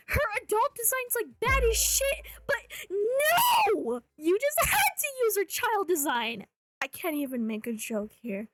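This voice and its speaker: A woman's voice: high-pitched, a bit bright. A woman delivering rant commentary in a sarcastic, blunt way with swearing. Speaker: video host, commentary creator.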